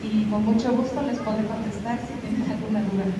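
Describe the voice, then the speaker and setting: A woman whose first language is Mixtec gives an earnest, measured science talk. Her voice is picked up from a distance in a big echoing hall, with long reverb and clear background noise behind it. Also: mid-range voice, steady tone. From Guerrero, Mexico. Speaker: graduate student presenter. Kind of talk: academic presentation. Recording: roomy hall echo, distant mic, noisy.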